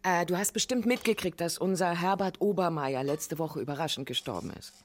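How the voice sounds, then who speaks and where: An actress playing a harried, pushy radio editor with a familiar, bossy manner in naturalistic radio drama dialogue. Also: female voice, lowish voice, brisk, heavy background noise, natural voice. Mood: businesslike, pushy. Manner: familiar, bossy, interrupts themself.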